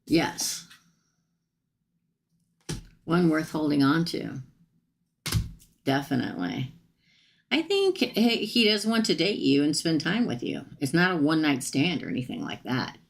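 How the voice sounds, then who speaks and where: Tarot reader, woman, low gravelly voice, chatty with viewers, tired and getting hoarse. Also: sing-song, a little slow, long pauses, slight background noise. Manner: chatty, addresses viewers directly. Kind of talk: live-stream tarot reading.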